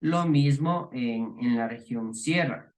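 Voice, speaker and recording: A middle-aged man from the Ecuadorian highlands with that accent, giving a class lecture with many fillers, restarts and rhetorical questions. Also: slow, low-quality recording. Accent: Ecuadorian highland Spanish. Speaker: teacher.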